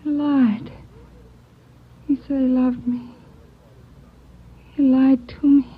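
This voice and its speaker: A woman's voice: high-pitched, little pitch movement, slow, slightly rough, full character voice. A woman playing a thief's devoted young girlfriend in a 1940s radio melodrama, emotional, breathless and pleading. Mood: hurt, tearful. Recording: dull old 1940s radio recording.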